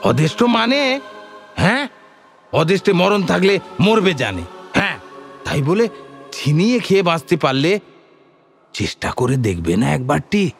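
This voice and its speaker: A man's voice: a little high, sing-song, measured pace, gravelly, pauses often, soft background music, exaggerated voice. An actor playing a rustic male bandit, telling bitter tales in an emphatic, theatrical way, full of rhetorical questions, for an audio drama. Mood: indignant, bitter. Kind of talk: dramatised audio story dialogue.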